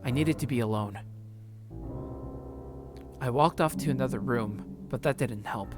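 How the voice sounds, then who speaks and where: A man's voice: mid-range voice, measured pace, over music. A man streaming a let's play, reading a visual novel aloud and reacting casually.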